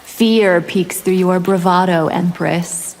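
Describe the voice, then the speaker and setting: A woman's voice: fairly low pitch, fairly even intonation, slow. A woman voicing a cold, scheming winged vampire warrior who taunts her foes with disdain in a fighting video game. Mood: cold taunting. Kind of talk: video game character dialogue. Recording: long reverb, loud music behind.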